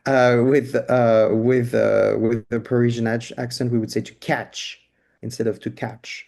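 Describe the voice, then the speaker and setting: A man's voice: mid-range voice, level tone, measured pace, creaky, a little mellow. A man with a French accent, answering interview questions in a loose, hesitant way with many restarts. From France. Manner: hesitant, many restarts and fillers while explaining. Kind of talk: casual conversational interview answers.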